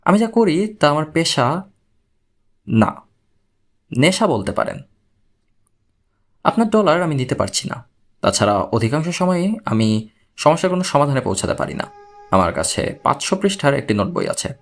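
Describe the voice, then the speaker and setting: A man voicing a patient, analytical male investigator in an audiobook story, explaining and questioning in a measured way. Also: fairly high pitch, sing-song. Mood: calm, analytical.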